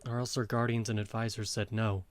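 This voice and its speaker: A man's voice: on the low side, little pitch movement, a little fast, no echo, natural voice. A man playing an ardent, reassuring young lover in an audio drama, earnest and theatrical in manner. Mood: earnest, wistful. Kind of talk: modernized Shakespeare audio drama acting.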